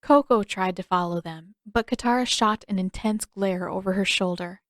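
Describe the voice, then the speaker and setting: A woman's voice: medium pitch, moderate pace, fairly bright tone, dry recording, studio quality. A woman reading scripted fiction as an audiobook narrator.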